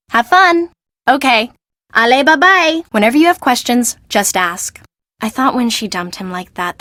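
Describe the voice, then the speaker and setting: A woman voicing an exasperated, bossy young assistant to an eccentric fashion designer in a video game, brisk, expressive and sarcastic. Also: mid-range voice, dry recording, studio quality, close to an everyday voice. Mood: breezy, then bitter.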